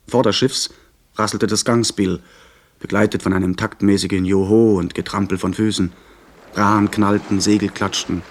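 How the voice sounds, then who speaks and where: An actor playing a young gentleman who recounts his sea adventure in measured, literary radio drama narration. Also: lowish voice, close to an everyday voice. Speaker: narrator and lead character. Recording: 1970s radio play, faint background noise.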